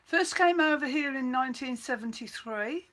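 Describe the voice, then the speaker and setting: Older english lady, accent gone a bit kiwi, bright sharp voice, chatty and rambly, repeats herself. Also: sits high, moderate pace. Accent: English with some New Zealand mixed in. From England (Birmingham). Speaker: livestream host. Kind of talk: casual chat to viewers.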